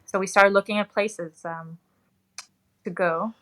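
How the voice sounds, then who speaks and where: A woman who grew up in Belgium, a professional golfer, answering interview questions in a relaxed, self-deprecating way with many fillers. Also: medium pitch, measured pace.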